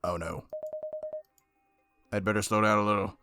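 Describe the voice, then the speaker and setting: A man reading a visual novel aloud as a game streamer, expressive, with casual slangy reactions. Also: fairly low pitch, moderate pace, over music. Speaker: game streamer, let's-play host.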